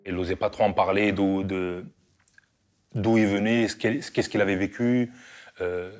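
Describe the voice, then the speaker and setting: A man's voice: lowish voice, fairly even intonation, moderate pace. A man talking about an old friend in a casual interview, chatty and full of fillers. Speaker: childhood friend.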